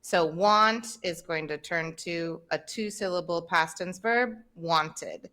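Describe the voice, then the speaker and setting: A woman, hosting a podcast and explaining English pronunciation clearly over a video call. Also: lowish voice, takes it slowly, sharp tone. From Tennessee, United States. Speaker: podcast host and English teacher. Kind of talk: casual teaching chat. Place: home room, indoors. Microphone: video call, webcam.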